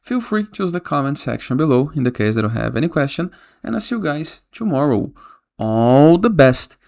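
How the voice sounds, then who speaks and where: Gravelly-voiced man hosting a tutorial. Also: fairly high pitch, very animated, moderate pace, a little mellow. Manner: frequently tags instructions with a questioning "okay?". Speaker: tutorial host. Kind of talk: casual screen-recorded software tutorial. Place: voice-over for a screen recording.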